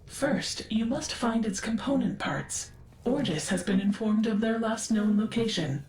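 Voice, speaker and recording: A woman voicing a learned, wistful artificial intelligence archivist in a video game, speaking in a measured, precise, formal way. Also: on the high side, a little mellow, reverberant, a little hiss or hum. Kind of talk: scripted video game character dialogue.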